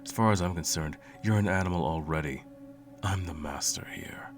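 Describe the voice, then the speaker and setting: A man playing a cruel, smug, all-powerful sorcerer in an ASMR roleplay, with a slow, condescending, menacing drawl and a gravelly voice. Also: low voice, lightly acted. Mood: mocking, domineering. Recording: music playing under the voice. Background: music.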